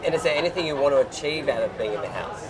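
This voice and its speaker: A man's voice: mid-range voice, expressive, rapid-fire, low-quality recording. A man interviewing two guests in a chatty, playful way. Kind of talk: casual interview. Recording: loud crowd behind.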